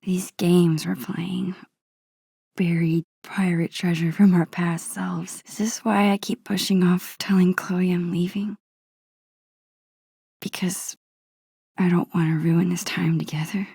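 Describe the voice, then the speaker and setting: Sentimental, shy teen girl, video game inner monologue. Hushed, husky-soft delivery, medium pitch, dry studio sound. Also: no room sound, heavily acted. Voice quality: soft, breathy, whispery. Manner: gentle, musing, talking to herself. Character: teenage girl, shy, wistful, nerdy and sentimental. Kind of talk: introspective game character monologue.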